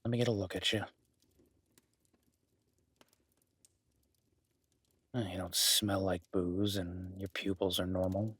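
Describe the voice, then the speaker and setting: A man playing a gruff, skeptical but caring cabin dweller in an intimate audio roleplay, blunt and sweary yet grudgingly reassuring. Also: low-pitched, level tone, moderate pace, fairly bright tone, no echo. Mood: cautious concern. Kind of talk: immersive audio roleplay, intimate naturalistic acting.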